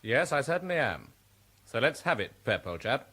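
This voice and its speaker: An actor playing a cheerful upper-class gentleman in a radio drama, breezy and clubby. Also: mid-range voice, sing-song, normal speed, breathy edge, full character voice. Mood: cheery. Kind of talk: 1960s radio drama acting.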